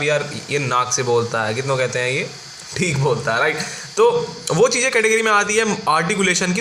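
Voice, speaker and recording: A man's voice: fairly high pitch, sharp tone. A man with an Indian accent giving an informal explanatory lecture as a tutor, often checking in with short tag questions. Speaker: engineering tutor. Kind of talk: informal explanatory lecture mixing Hindi and English. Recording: echoey room with faint background noise.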